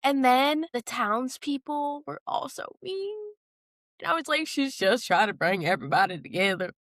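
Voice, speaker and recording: A woman's voice: high voice, expressive, moderate pace, a bit bright, no room sound, clean studio sound. A young woman co-hosting a podcast, chatting casually about pop culture in slangy, conversational language. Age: young adult. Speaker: podcast co-host.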